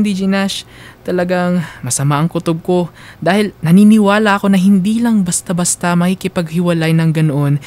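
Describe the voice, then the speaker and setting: A man narrating a radio drama in the first person, emotive and halting for dramatic effect. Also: high-pitched, darkish tone.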